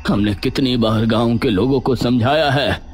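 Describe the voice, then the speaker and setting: A man playing a grave village elder who gives warnings in an animated horror story. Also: mid-range voice, moderate pace, slightly rough, soft background music, close to an everyday voice. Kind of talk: acted animated story dialogue.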